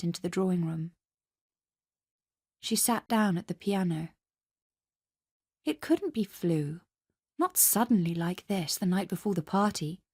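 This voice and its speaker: A woman's voice: on the low side, a little mellow, husky. A woman with a British accent, narrating a literary story for radio in a dramatic style. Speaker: story reader.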